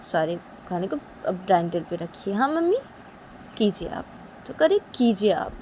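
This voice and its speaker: A woman's voice: mid-range voice, sing-song, normal speed, darkish tone, slight background noise, natural voice. A woman voicing an angry young daughter-in-law who scolds and repeats her demands. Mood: huffy.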